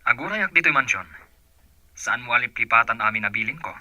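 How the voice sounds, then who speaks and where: An actor playing a stern, commanding boss who gives orders over the phone in a radio drama. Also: male voice, mid-range voice, animated, brisk, sharp tone, no echo, lo-fi sound. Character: stern rich male boss giving orders.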